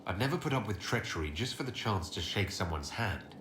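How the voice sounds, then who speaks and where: A man playing a composed, inquisitive fantasy leader in a video game, measured and courteous. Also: on the low side, animated, a bit bright, a little hiss or hum. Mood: composed, inquiring. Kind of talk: video game dialogue acting. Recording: roomy sound, music behind.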